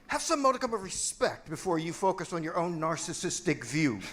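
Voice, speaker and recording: A man's voice: on the high side, sing-song, brisk, a bit bright, a little hiss or hum. A man playing a cynical, hot-headed militant disciple in a dramatic reading for a church play, argumentative and sardonic. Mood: indignant, defiant.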